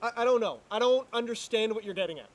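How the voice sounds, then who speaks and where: A man's voice: high-pitched, fast talker, close to an everyday voice. A man acting an anxious, exasperated twin brother who gets indignant and sarcastic in an amateur drama reading. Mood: defensive, confused.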